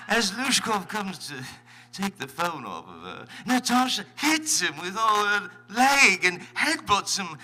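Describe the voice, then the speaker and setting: Giddy swinging narrator, very bright, wildly swooping pitch, theatrical, stage comedy. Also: male voice, full character voice. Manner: theatrical, excitable, breaking into giggles. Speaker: narrator of a live comic stage reading. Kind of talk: comic live script narration. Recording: live convention stage microphone.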